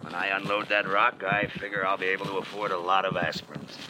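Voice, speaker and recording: Man playing a low-voiced smug radio crook. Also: level tone, fast, lightly acted. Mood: needling, menacing. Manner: uneducated tough-guy slang, needling. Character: smug, slangy male crook scheming a frame-up. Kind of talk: 1940s radio drama acting. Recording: noisy old radio drama broadcast.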